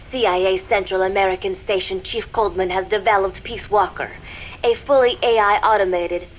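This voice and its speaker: An actress playing a cool, watchful young female spy in a video game, dictating a secret log in a measured, formal, deliberate way. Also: mid-range voice, a little hiss or hum, lightly acted, poor audio. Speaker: spy recording an intelligence log. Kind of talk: scripted video game voice acting, dramatic monologue.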